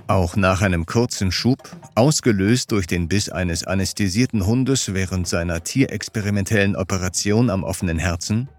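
A man narrating a scripted documentary story in a measured, clearly articulated way. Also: on the low side.

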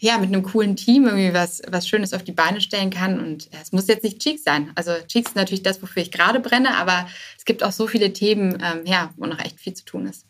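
Woman on a podcast, chatting informally, drops english startup words, mid-pitched voice. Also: fairly quick, few pauses, slight background noise. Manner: informal, youthful slang with English buzzwords. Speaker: podcast guest, start-up co-founder. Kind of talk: casual interview conversation.

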